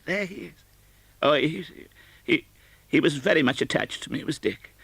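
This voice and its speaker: A man's voice: a little high, lively intonation, moderate pace, creaky, fairly bright tone, husky, no room sound, voice pushed up, exaggerated voice. A man doing a quavering old miser. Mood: fond. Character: excitable old male miser, wistful.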